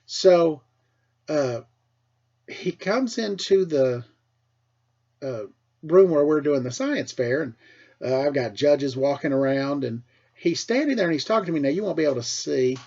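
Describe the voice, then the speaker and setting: A middle-aged American man, a teacher with a husky voice, tells casual personal stories for children in an animated way, acting things out as he goes. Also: fairly high pitch, a little slow, long pauses, muffled recording. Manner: animated, acts out dialogue and sound effects. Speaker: school teacher telling stories to pupils.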